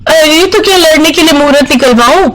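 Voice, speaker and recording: A man voicing a young, quarrelsome, sharp-tongued daughter-in-law with a dramatic manner in an animated moral story. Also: extremely high pitch, a little fast, voice pushed up. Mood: defiant, bickering. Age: young adult. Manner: bickering, dramatic.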